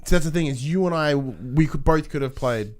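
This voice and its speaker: A man's voice: fairly high pitch, expressive, a little fast. A man co-hosting a podcast, steering the discussion in a casual, conversational style. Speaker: podcast co-host.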